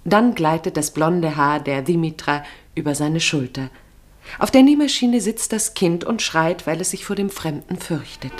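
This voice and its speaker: Low-voiced female radio-play narrator. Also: animated, moderate pace.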